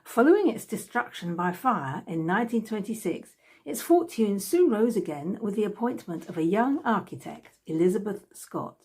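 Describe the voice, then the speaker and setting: A woman reading a scripted narration aloud in a measured, storytelling way. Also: mid-range voice, dark tone, slightly rough. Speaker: author reading from own book.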